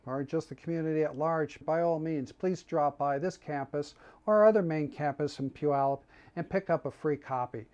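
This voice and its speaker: Male college instructor, slightly high voice, informal promo talk, wordy and halting with careful phrasing. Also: a little mellow, lo-fi sound.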